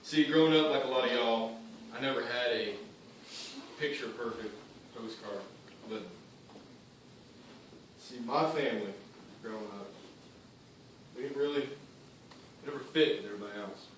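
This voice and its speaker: A man performing a theatrical monologue as a cynical, bitter young drug dealer, defiant and speaking in clipped phrases with pointed pauses. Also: medium pitch, fairly bright tone, natural voice. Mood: dismissive, wounded. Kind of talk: theatrical monologue addressed to an audience. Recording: very echoey room, distant mic, faint noise.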